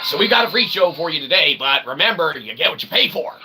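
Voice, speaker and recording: A man's voice: on the high side, lively intonation, quick pace, noisy background. A man hosting a comedic philosophy show in a mock-grandiose, wordy monologue with ornate phrasing. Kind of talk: comic monologue.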